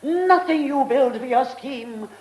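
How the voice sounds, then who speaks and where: A stubborn upper-class English lady of the manor in a radio play. Her voice is high and very animated, full of haughty indignation. It rings in an echoing room. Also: takes it slowly, a little hiss or hum. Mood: haughty, dismissive. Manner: haughty, cutting, emphatic. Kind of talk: British radio drama acting. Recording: roomy, echoing radio drama studio.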